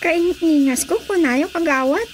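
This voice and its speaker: A woman's voice: very high voice, measured pace. A woman playing a polite, deferential young woman with a Filipino accent in radio drama dialogue. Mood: earnest. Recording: faint background noise.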